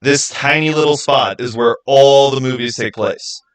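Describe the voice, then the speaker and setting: A man hosting a livestream, answering viewers in casual, halting speech and thinking out loud. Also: mid-range voice, low-quality recording. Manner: halting, thinking aloud with repeated phrases. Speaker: livestream host.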